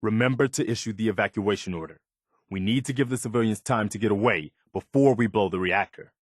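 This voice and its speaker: Earnest low-voiced militia man. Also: a little fast, slightly rough, dry recording, clean studio sound. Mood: urgent, focused. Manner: sincere, respectful, soldierly. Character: earnest, loyal militia lieutenant, a soldierly man with weary hope. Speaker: companion character in a video game. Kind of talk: video game voice acting.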